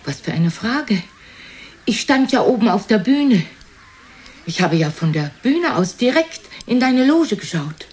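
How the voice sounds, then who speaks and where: A woman's voice: on the high side, sing-song, unhurried, darkish tone. Scolding, wounded elderly mother. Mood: proud, insistent. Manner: scolding, accusatory, dramatic. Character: reproachful, proud, wounded elderly mother of Casanova. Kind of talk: German radio play acting.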